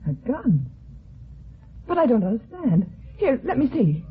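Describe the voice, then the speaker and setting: A woman's voice: on the high side, very animated, unhurried, breathy edge, heavily acted. A woman playing an anxious, pleading wife with agitated protests, in 1950s radio melodrama. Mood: alarmed, pleading. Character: anxious, pleading wife caught hiding something. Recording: thin, tinny 1950s radio recording.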